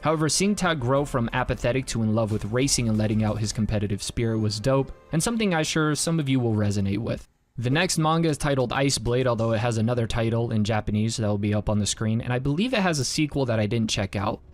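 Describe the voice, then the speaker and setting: A man's voice: mid-range voice, expressive, quick pace. A man narrating a scripted review in the manner of an online video creator. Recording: music under the voice. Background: background music.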